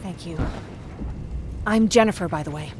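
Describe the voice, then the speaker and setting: An actress playing a worried, determined young survivor and protective big sister in a video game cutscene. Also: female voice, lowish voice, moderate pace, a little mellow, slight background noise, lightly acted. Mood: relieved, resolute.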